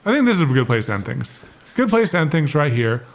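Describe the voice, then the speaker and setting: A man in his thirties doing casual, playful, excitable gameplay commentary as a streamer, often repeating phrases, on a close desk microphone. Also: fairly high pitch, quick pace, slight background noise. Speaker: gaming streamer and co-host. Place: indoor home streaming setup. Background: game music.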